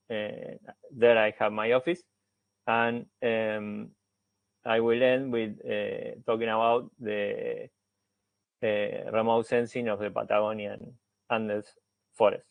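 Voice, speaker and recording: A man's voice: medium pitch, gravelly, no echo. A man with an Argentine Spanish accent, a native Spanish speaker, giving a researcher's seminar talk with many hesitant fillers and restarts. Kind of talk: academic presentation.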